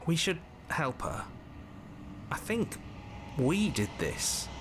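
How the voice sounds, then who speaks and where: Anxious inner voice, animated, highish pitch. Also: male voice, slow, noisy background, heavily acted. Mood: regretful. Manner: emotive, hesitant, pleading. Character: anxious, conscience-like inner voice, regretful and worried. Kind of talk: dramatic visual novel voice acting.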